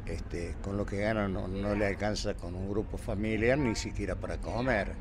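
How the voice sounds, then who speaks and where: A retired man in his sixties with an Argentine accent, answering a street interview with halting restarts and fillers. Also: fairly low pitch, steady tone, noisy background. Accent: Córdoba Argentine Spanish. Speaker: retired provincial employee. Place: city street outdoors.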